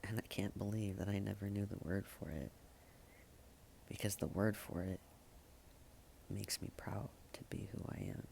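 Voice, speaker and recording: A man delivers slam poetry in a low voice that crackles with heavy vocal fry. The delivery is halting and deliberate, fairly flat in pitch, with long pauses and phrases that trail off into a hush. There is some background noise in the recording. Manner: halting, with dramatic pauses and hushed, breathy phrase endings. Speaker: slam poet. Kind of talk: spoken-word slam poetry, slow and repetitive.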